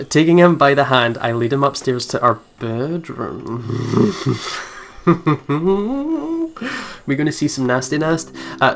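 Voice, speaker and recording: A man hosts a let's play, reading story narration aloud and breaking off for fond, giggly commentary. His pitch is middling, and faint music plays behind him. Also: fairly bright tone.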